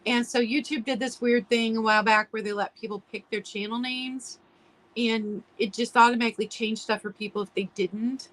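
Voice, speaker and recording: A woman hosting a live online vintage sale in casual chat, a little hoarse from allergies and clearing her throat now and then, greeting viewers by name as she describes items. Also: on the high side, fairly even intonation, moderate pace, a bit bright, low-quality recording. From United States.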